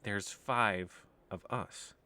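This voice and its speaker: An actor playing an excitable, self-satisfied member of a biker crew in an audio drama. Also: low-pitched, extremely slow, full character voice. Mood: matter-of-fact. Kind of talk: animated audio drama acting.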